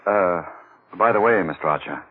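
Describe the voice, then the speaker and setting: An actor playing a middle-aged, businesslike police inspector, clipped and probing, in a 1940s radio thriller. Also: male voice, low-pitched, moderate pace, slight background noise, lightly acted. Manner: clipped, matter-of-fact questioning. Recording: muffled old radio broadcast with music.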